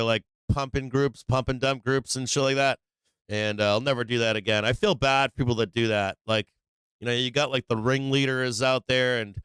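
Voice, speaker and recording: This is a man with a clean, resonant voice of medium pitch, recorded dry with hardly any room around it. He talks like the host of a live trading stream, moving loosely between chat and market commentary. His language is blunt, slangy and freely profane. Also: fairly bright tone, clear, resonant tone, dry recording, low-quality recording.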